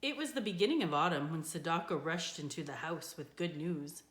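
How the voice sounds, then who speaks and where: A woman reading a children's book aloud in an expressive storytelling style. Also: deep voice, brisk. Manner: expressive, with character voices for dialogue. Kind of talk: scripted storybook narration, read aloud. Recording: echoey room.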